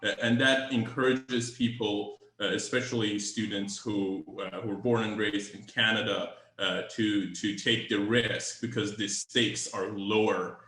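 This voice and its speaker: Man with bright voice, echoey room. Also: fairly low pitch, fairly even intonation, measured pace, few pauses. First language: Farsi. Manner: hesitant, many fillers and restarts. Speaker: panelist. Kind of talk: panel discussion on video call. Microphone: video call.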